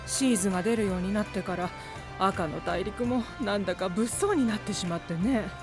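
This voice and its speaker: A woman's voice: medium pitch, a little fast, music in the background, natural voice. A woman playing a homely middle-aged market townswoman, wistful and a little worried, in an anime-style voice drama.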